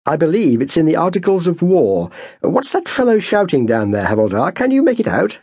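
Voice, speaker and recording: A man's voice: fairly high pitch, wildly swooping pitch, a little fast, darkish tone, breathy edge, dry recording, clean studio sound, pitched above the performer's own voice, full character voice. A man voicing a dim, pompous young British army officer with a plummy, blustering manner.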